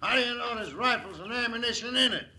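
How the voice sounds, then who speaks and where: A man's voice: extremely high pitch, quick pace, pushed and bright, full character voice. An actor playing a skeptical outlaw henchman in an old-time radio western, with a folksy, questioning manner. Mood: doubtful. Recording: noisy old radio, clicks.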